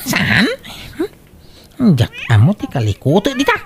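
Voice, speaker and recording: A woman's voice: on the low side, wildly swooping pitch, unhurried, full character voice. A woman playing a bewildered, fretful rural householder in an Ilocano comedy radio drama, full of questions and exclamations, with an Ilocano accent. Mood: grumbling. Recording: clearly noisy background.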